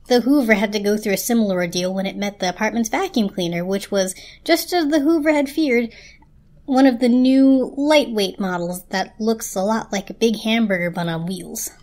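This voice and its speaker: A woman's voice: mid-range voice, a little fast, darkish tone. A woman narrating a children's story as a dramatic reading.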